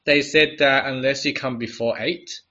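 A man's voice: mid-range voice, fairly even intonation, moderate pace, bright, forceful tone, dull audio. A man with a New Zealand accent, co-hosting a podcast in joking, chatty talk. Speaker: podcast co-host. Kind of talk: casual chat.

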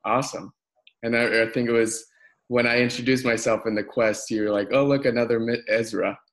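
A man's voice: medium pitch, fairly even intonation, a little fast, a bit bright. A man, interviewing a guest in a casual, conversational way.

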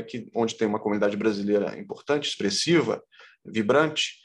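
A man, a consul-general hosting an interview, polite and measured with hesitant fillers. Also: medium pitch.

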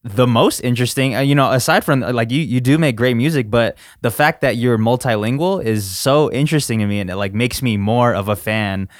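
A man hosting a casual interview, relaxed and full of fillers and agreeing noises. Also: medium pitch, brisk, hardly any pauses, no echo, clean studio sound. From United States. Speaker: podcast host.

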